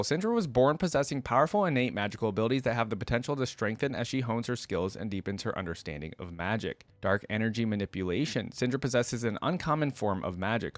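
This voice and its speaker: A man doing casual reaction commentary, reading aloud and thinking out loud in an informal way as a video creator. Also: on the low side, no room sound. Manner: informal, thinking aloud between read passages.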